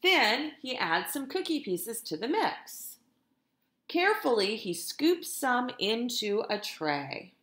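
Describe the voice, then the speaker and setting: Woman, storytime teacher for small kids. Unhurried, expressive, talks straight to the children, in an echoey room. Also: on the high side. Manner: expressive, deliberate, addresses children directly. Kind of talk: picture-book read-aloud for young children. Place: indoors in a room at home.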